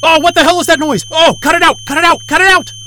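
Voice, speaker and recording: High, irritable sarcastic guy. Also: fairly even intonation, fast, pushed and bright, slight background noise, full character voice. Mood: startled, furious. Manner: exasperated, sarcastic, sweary. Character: Wayne, irritable sarcastic astrophysicist, adult man. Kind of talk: naturalistic audio drama acting.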